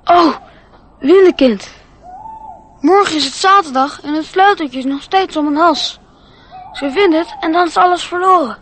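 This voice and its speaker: A woman's voice: extremely high pitch, normal speed, pauses often, a little hiss or hum. A woman playing an earnest, curious, trusting little boy in a radio drama. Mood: worried.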